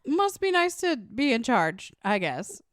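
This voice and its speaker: A woman in her thirties co-hosting a comedy podcast, animated and supportive, sometimes mock-whiny. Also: on the high side, a little slow, dry recording, studio quality. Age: 30s. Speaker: podcast co-host. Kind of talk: casual comedy chat.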